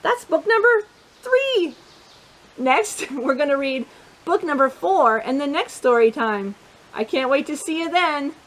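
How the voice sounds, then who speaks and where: A woman with a high voice reads a children's picture book as a storytime host. She is expressive in her delivery and often steps out of the text to chat or put questions to her young listeners. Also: a little slow, low-quality recording. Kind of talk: read-aloud children's storytelling with chatty asides.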